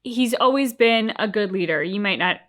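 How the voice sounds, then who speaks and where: A woman's voice: medium pitch, lively intonation, moderate pace, a bit bright. A woman co-hosting a reaction show, discussing casually in a reflective, articulate way.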